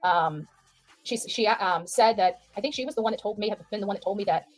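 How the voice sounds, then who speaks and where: A woman's voice: medium pitch, brisk. A woman giving informal livestream commentary, emphatic and repeating phrases for stress.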